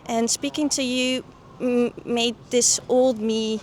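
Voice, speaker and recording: Young lady, kinda high voice, thinking out loud in an interview, some noise behind. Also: steady tone, takes it slowly. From the Netherlands. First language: Dutch. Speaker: guest, coaching client and doctor. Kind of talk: personal testimonial interview.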